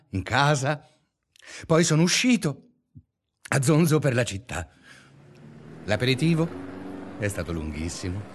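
An actor playing an obsessive, restless male schoolteacher in a confessional radio drama monologue, speaking deliberately in short phrases. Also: mid-range voice. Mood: agitated. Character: obsessive, restless male schoolteacher narrating his sleepless days.

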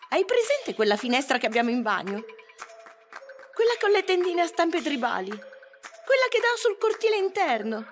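A woman acting a shaken figure who haltingly breaks terrible news in a dreamlike radio drama. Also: high voice, exaggerated voice. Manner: halting, fragmented, hesitant.

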